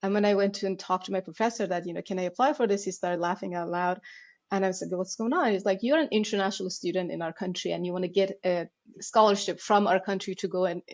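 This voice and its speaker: An animated woman, a Persian speaker, telling her story as a podcast guest and laughing at herself along the way. Her voice sits at a medium pitch. The audio is a little dull and muffled. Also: quick pace. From Iran. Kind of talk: podcast interview.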